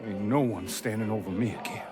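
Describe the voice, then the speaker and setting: A man's voice: fairly low pitch, brisk, lightly acted. A man playing a fatherly crime boss in a video game cutscene, with a Southern American accent and a folksy, heartfelt, emphatic delivery. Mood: heartfelt, proud. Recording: music playing behind the voice.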